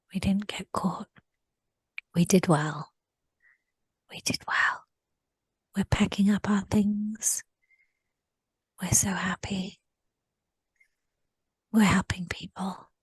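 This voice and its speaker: A woman whispering a slow first-person recollection in a guided session, often repeating short phrases. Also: medium pitch, darkish tone, husky, dry recording.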